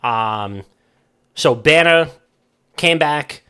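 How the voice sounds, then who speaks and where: A man's voice: a little high, lively intonation, very slow, pushed and bright, smooth. A man co-hosting a gaming podcast, talking casually in slangy, profane, bro-like language. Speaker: podcast co-host.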